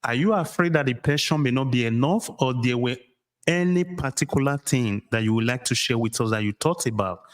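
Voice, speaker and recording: A man's voice: medium pitch, lively intonation, brisk. A man conducting an interview, speaking in long, formally phrased remarks and questions. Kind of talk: interview talk.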